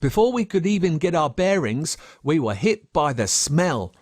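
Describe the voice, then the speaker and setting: An Australian man reading a story aloud as a dramatic narrator, expressive and measured with telling pauses. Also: a little high, no room sound, clean studio sound. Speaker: audiobook narrator. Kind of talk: dramatic scripted storytelling in first person.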